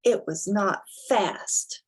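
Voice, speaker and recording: A woman reading a children's storybook as a dramatic reading, with deliberate, theatrical emphasis. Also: medium pitch, husky. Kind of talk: expressive dramatic storybook reading with casual asides.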